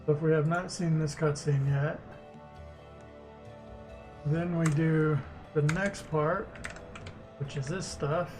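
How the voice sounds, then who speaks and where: A man streaming as a game developer, casually thinking aloud and muttering haltingly to himself as he works through code. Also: on the high side, fairly even intonation. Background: music, keyboard typing.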